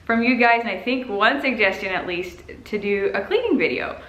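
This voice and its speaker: A woman in her thirties, a native Pennsylvania Dutch speaker, chats in a friendly way in a home vlog voiceover and laughs softly now and then. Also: medium pitch, animated, normal speed, rough recording. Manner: chatty, friendly, often laughs softly, mixes dialect and English. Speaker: vlogger, mother. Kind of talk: casual voiceover over cleaning footage. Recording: voiceover over clear background music.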